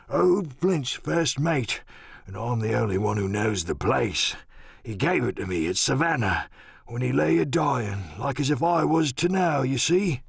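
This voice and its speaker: Gravelly, cantankerous old captain. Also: male voice, mid-range voice, no echo, clean studio sound, pitched above the performer's own voice, exaggerated voice. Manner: rambling, salty seafaring talk, cursing. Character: sick, rum-craving old pirate sea captain, cantankerous and pleading. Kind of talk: audiobook radio-play character acting.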